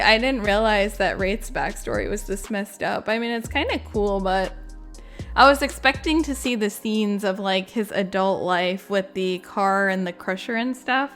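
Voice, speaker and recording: A woman's voice: mid-range voice, fairly bright tone. A woman giving casual, informal reaction commentary as a gaming content creator, thinking aloud as she goes. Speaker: video game content creator.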